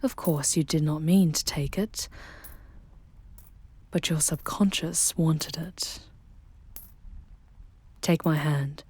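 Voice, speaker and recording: A woman playing a charming, protective female demon guide in an intimate ASMR roleplay, with soft breathy delivery and formal, measured diction. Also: low-pitched, fairly even intonation, no room sound, exaggerated voice. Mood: hushed, teasing. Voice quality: soft, breathy, often whispery.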